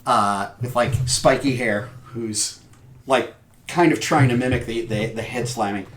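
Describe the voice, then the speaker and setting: A man running a tabletop horror game, narrating casually and informally in a halting, jokey way. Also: mid-range voice, a bit bright. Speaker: game master. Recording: roomy echo, faint noise and clicks.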